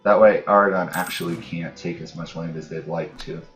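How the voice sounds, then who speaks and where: A man's voice: low voice, fairly even intonation, fairly quick, faint music behind. A man giving casual commentary on a strategy game, thinking aloud and muttering to himself in short fragments. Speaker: gamer, host of a let's play series.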